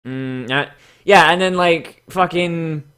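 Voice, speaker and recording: Man, bright forceful voice, slightly high, sarcastic reading, freely swearing, theatrical mockery. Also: slow, clean tone. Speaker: host of a dramatic reading show. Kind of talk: sarcastic profane read-aloud with casual commentary.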